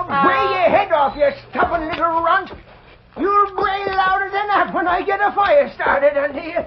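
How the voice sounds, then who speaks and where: An actor playing a cranky, stubborn old prospector with an American accent in a folksy, blustering vintage radio western style. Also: male voice, very high voice. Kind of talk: 1940s radio western acting. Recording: dull, noisy vintage radio recording.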